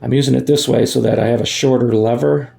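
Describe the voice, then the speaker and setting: A man's voice: mid-range voice, steady tone, quick pace, vocal fry, dark tone. A man giving a casual hands-on tutorial in a folksy way, thinking aloud as he works, recorded on a phone. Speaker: amateur DIY video maker.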